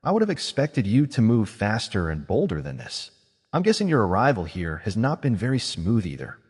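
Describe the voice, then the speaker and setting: A man's voice: on the low side, very animated, a little fast, darkish tone, slightly rough, in a large hall, exaggerated voice. A man voicing a vain, playful witch woman who has been made young again, teasing and self-satisfied. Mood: smug.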